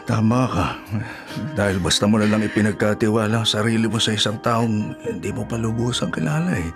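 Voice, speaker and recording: Man playing a soothing low-voiced older father, music underneath. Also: a little fast, natural voice. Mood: concerned, firm. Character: gentle, devout older father consoling his grown daughter. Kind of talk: radio drama acting.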